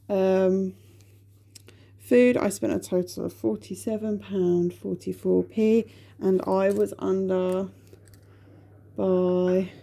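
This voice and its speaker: A woman's voice: medium pitch, creaky, dark tone. A woman in her thirties from the UK, chatting to camera at home about her budget, sniffly and rambling with lots of ums. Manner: chatty, rambling, with many ums and self-corrections. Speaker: budgeting vlogger. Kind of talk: casual chat to camera while counting cash.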